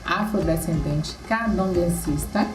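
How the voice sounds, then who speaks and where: A woman in her late thirties tells her story with lively warmth, her voice sitting slightly low. The sound is roomy, and music plays under her. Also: a little slow. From Salvador, Brazil. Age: 30s. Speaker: candomblé seamstress. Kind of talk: documentary interview.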